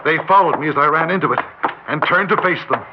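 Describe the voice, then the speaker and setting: A man's voice: a little high, fast, noisy background, close to an everyday voice. A man acting an agitated small-town schoolteacher, urgent and desperate to be believed, in old-time radio drama style. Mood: tense narrating. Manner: urgent, stammering when flustered. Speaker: narrator and lead character. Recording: muffled old radio recording, music behind.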